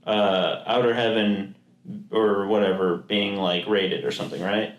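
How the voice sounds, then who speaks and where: A man's voice: lowish voice, steady tone, a little slow. A man co-hosting a podcast, talking casually and rambling with lots of fillers and restarts. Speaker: podcast co-host. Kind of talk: casual chat about video games. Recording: echoey room.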